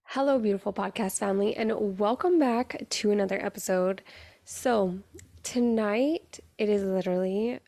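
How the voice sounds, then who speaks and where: A young woman hosting her podcast, talking in a raspy voice with an earnest, chatty manner full of fillers. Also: medium pitch, moderate pace, dark tone. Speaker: podcast host. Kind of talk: casual solo podcast monologue.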